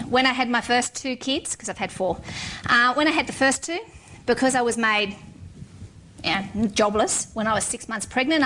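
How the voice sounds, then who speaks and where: A woman's voice: a little high, sharp tone, a little hiss or hum, poor audio. A middle-aged woman, a civil engineer, giving a frank, wry talk to an audience. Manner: frank and wry, with blunt humor.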